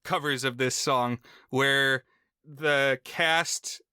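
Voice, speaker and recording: A man's voice: medium pitch, fairly even intonation, drawn-out words, pushed and bright, smooth, no room sound. A man co-hosting a film podcast, recapping the plot with wry, dry humor. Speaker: podcast co-host. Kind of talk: casual chat, recapping a film.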